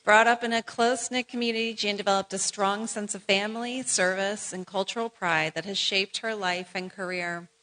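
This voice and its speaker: A woman with a bright, ringing voice reads biographies aloud at a ceremony. Her delivery is measured and formal, paced for an audience, with a warm nod to each honoree between passages. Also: mid-range voice, steady tone. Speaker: event presenter.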